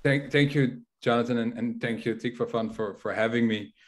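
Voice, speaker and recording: A man's voice: mid-range voice, steady tone, normal speed. A man in his thirties from the Netherlands with a Dutch accent, speaking plainly as a guest on a video call, often restarting his phrases. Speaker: security organization executive.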